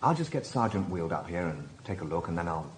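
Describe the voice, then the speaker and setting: Low-voiced, expressive police inspector. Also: male voice, slight background noise. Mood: gently businesslike. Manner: measured, polite, probing. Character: methodical, courteous male police inspector narrating his case. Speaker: narrator and lead detective. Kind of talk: radio drama narration and dialogue.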